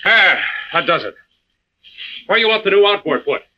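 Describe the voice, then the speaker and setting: A man's voice: high-pitched, sing-song, normal speed. A man playing a hearty, genial seasoned seaman who runs a supply boat, in old-time radio drama style. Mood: genial, businesslike. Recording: dull vintage radio recording, faint noise.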